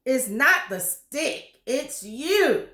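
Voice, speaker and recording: A woman's voice: high voice, big pitch swings, very slow, bright, forceful tone, echoey room, pitched above the performer's own voice, exaggerated voice. A woman voicing a nasty old husband who taunts his wife with a cruel trick, in a storybook reading. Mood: scornful. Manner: taunting, mock-alarmed, jeering.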